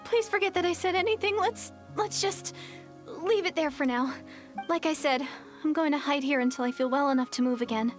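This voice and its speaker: A woman's voice: high-pitched, a little fast, faint music behind, close to an everyday voice. A woman voicing a shy, easily flustered young village woman in a game, who talks hesitantly and stammers. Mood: shy earnest. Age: young adult. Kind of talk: game dialogue.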